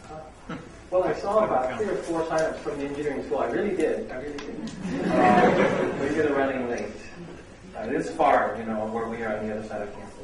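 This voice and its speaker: A man's voice: medium pitch, fairly quick. Middle-aged guy hosting a seminar, lots of ums, echoey room, mic far off, noisy. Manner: hesitant, full of fillers. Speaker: seminar host, vice dean. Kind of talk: opening remarks at a university seminar. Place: lecture room.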